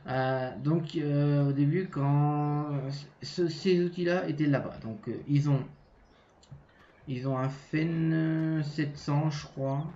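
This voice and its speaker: French man, stuffy from a cold, hesitant gaming commentary, mellow tone, slightly roomy and dull mic. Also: on the high side, steady tone, slow. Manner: hesitant, many fillers and restarts. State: has a cold. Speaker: gaming channel host. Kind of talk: casual game walkthrough commentary.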